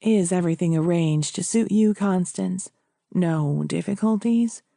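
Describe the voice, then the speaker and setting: An earnest family doctor, a man as a woman narrator voices him, talks in a mellow, slow voice. He is gentle and solicitous, a caring old friend in a storybook reading. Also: lowish voice, gravelly, no room sound, clean studio sound. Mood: solicitous, careful.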